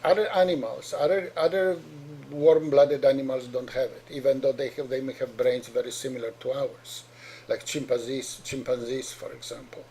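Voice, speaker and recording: A man's voice: mid-range voice, flat delivery, moderate pace, warm tone, slight background noise, poor audio. A middle-aged man from Poland with a heavy Polish accent, a doctor giving an earnest explanatory talk to parents, often repeating and restarting words.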